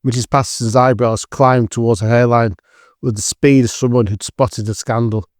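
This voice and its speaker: A man's voice: mid-range voice, fairly even intonation, normal speed, darkish tone, no echo, studio quality. A man giving scripted narration as the storyteller of an audio drama.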